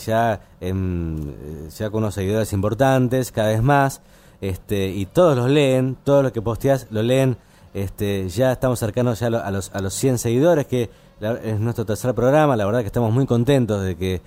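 A man with a Buenos Aires Argentine accent hosting casual late-night radio, explaining things in a halting, rambling way. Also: lowish voice, warm tone. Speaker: radio host.

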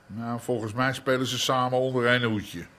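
A man's voice: mid-range voice, fairly even intonation, normal speed, sharp tone, natural voice. A man playing a tough, proud older crime boss in a radio drama, blunt and colloquial. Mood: gruff, suspicious. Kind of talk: naturalistic Dutch radio drama acting.

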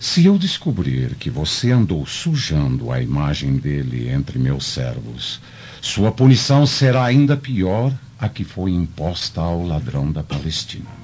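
A very deep, smooth-voiced Roman senator, stern and menacing, speaking pompous Brazilian Portuguese in a radio drama. His delivery swings widely in pitch and weighs each threat, on a dull lo-fi recording. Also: sing-song, unhurried, darkish tone, heavily acted.

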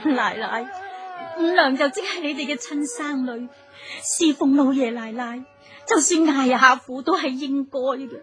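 An actress playing a young, dutiful, self-sacrificing daughter-in-law in a Cantonese radio drama, soothing and pleading deferentially. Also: female voice, sits high, measured pace, music in the background. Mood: tearful pleading.

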